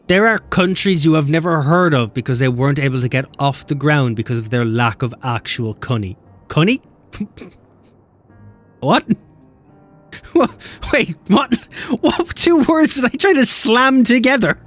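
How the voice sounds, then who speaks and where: A man's voice: fairly high pitch, soft background music. A man streaming a game, chatting casually and swearing as he bickers with chat. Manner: animated, swearing, quick banter with chat.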